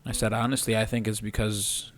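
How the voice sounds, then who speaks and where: A man's voice: lowish voice, fairly quick, faint music behind. A man in his twenties, a podcast guest and physical therapist, speaking earnestly and steadily. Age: 20s. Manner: earnest and steady, with occasional restarts. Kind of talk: casual chat.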